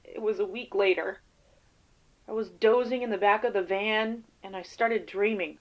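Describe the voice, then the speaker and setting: A woman playing a weary, defensive field agent in an audio drama interview, speaking haltingly and casually. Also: medium pitch, steady tone, highs cut off, close to an everyday voice. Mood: uneasy, hesitant.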